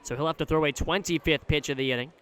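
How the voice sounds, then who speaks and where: A man doing live radio play-by-play commentary of a baseball game, describing the action in a measured way that rises when the play picks up. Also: fairly high pitch, fairly even intonation, fairly bright tone. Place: live at an outdoor ballpark.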